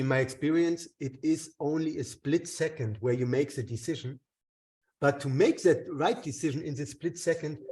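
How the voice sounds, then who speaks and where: A man's voice: mid-range voice, warm tone, recorded from a distance. A man co-hosting a webinar, speaking in a thoughtful, earnest teaching manner. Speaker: webinar co-host. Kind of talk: reflective teaching talk.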